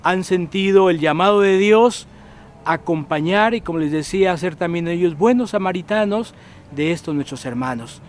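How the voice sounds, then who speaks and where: A man from Guatemala hosts a religious program with a fairly high voice. He speaks calmly and exhorts his viewers directly. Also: normal speed. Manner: calm, exhortative, addresses viewers. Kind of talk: pastoral presenter commentary.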